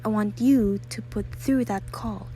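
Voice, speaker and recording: A woman's voice: measured pace, dark tone, husky. A woman playing a nervous, demanding, self-pitying bedridden wife in a radio thriller, with a querulous, imperious manner. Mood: irritated, indignant. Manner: querulous, imperious, highly strung. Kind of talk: old-time radio thriller acting.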